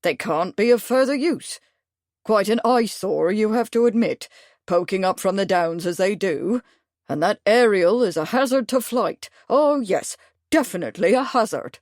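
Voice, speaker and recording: A woman's voice: mid-range voice, animated, moderate pace, a little mellow, dry recording, clean studio sound, close to an everyday voice. A woman voicing a fussy, pompous older male retired major who talks at length. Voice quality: pompous, fussy, long-winded.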